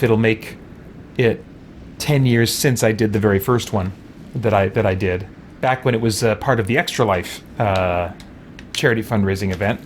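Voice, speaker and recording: Male streamer, middle-pitched, casual off-the-cuff game commentary over audible background noise and ambience. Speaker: streamer and host of a game let's-play.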